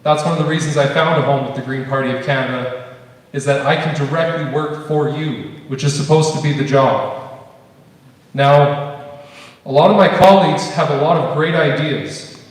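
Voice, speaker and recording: Man, loud voice, speech impediment, slightly high, distant in a reverberant hall. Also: normal speed. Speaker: election candidate. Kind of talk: candidate forum answer. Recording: echoey hall, mic from far off.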